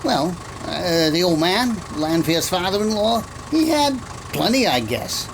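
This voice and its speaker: A woman's voice: fairly low pitch, very animated, a little slow. A woman playing a chatty, folksy local taxi driver in a radio drama, rambling with hesitant asides. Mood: folksy, gossipy. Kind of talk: old-time radio drama acting. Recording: car engine noise behind.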